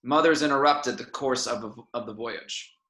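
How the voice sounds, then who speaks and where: A man's voice: medium pitch, normal speed, bright, forceful tone, reverberant. A man reading the part of a spaceship captain briefing his crew in a script table read. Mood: matter-of-fact.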